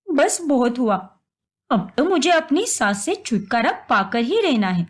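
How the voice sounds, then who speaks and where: A scheming young daughter-in-law from a family storybook tale, played by a woman. Her high voice is very animated. She whines and complains with deceitful, dramatic flair. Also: unhurried, exaggerated voice.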